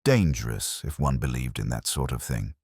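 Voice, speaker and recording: A vain, tipsy professor from an audio detective drama, voiced by a male narrator. The voice is extremely deep and murmuring, full of sly insinuation. The sound is dry and close. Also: animated, moderate pace, darkish tone, husky, no echo, full character voice. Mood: sly, cynical.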